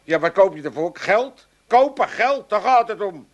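Radio play: a cranky old Amsterdam junk dealer, high bright voice with wild swings, pompous bluster. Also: male voice, lively intonation, normal speed, lo-fi sound. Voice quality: blustering, theatrical.

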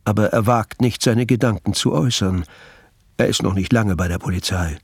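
A man narrating a literary radio play as its storyteller, with a gravelly, husky voice. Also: on the low side, normal speed, dry recording, clean studio sound.

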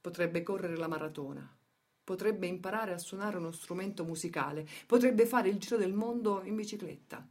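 A woman's voice: lowish voice, moderate pace, darkish tone. A woman reading scripted dramatic narration for a radio theatre piece.

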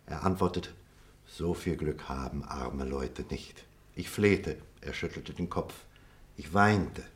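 An actor playing a reflective middle-aged former pupil, telling memories in a measured, expressive radio-play style. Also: male voice, rumbling low. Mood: reminiscing. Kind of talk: theatrical radio play dialogue and storytelling.

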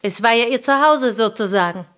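An actress playing a reserved provincial piano teacher and confidante in a German radio play, speaking with courteous restraint. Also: female voice, a little high, fairly quick, a bit bright, close to an everyday voice. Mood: restrained.

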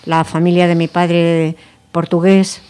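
A woman's voice: on the low side, little pitch movement, a little slow, warm tone, clear, resonant tone. Woman from Madrid recalling childhood. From Madrid, Spain. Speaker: interviewee. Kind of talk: conversational testimony.

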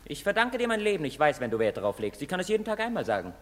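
Young outcast son, bitter and intensely scornful, theatrical German drama delivery, very reverberant space. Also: male voice, fairly high pitch, big pitch swings, very fast, fairly bright tone, slight background noise. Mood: bitterly mocking, agitated. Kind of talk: German stage drama acting. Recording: very reverberant hall or stage.

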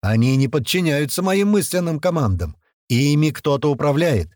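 A calm male fighter , voiced by an audiobook narrator, reports to his squad in an unflustered, even manner. The sound is dry and close. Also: fairly high pitch, normal speed, no echo.

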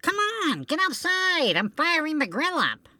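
A woman's voice: high voice, wildly swooping pitch, measured pace, pushed and bright, no room sound. A woman doing scripted comic banter in a breezy, eager manner.

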